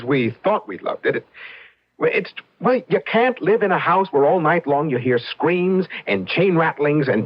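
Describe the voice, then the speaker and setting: An anxious, earnest young husband in an old radio play. His husky voice moves up and down in pitch and halts as he explains. The recording is dull and dated. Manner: hesitant, halting. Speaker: radio drama actor. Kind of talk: old-time radio drama acting.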